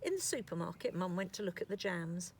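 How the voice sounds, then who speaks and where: A woman storyteller narrating a scripted children's story in an expressive, deliberate way. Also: fairly low pitch, a little hiss or hum.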